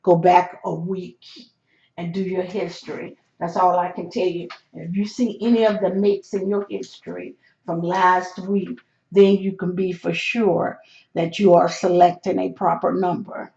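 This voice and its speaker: A hoarse, gravelly host walks slowly through number charts, stopping often to restart a thought. The tone is dark and mellow. The recording sounds dull and lo-fi. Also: medium pitch, little pitch movement. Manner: slow, step-by-step explaining with hesitant restarts. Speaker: lottery number tutorial host. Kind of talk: screen walkthrough of number charts.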